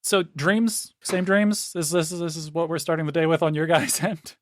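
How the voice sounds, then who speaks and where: A man's voice: on the high side, steady tone, normal speed. A man playing a tabletop role-playing game, talking casually and in character in a slightly rambling way.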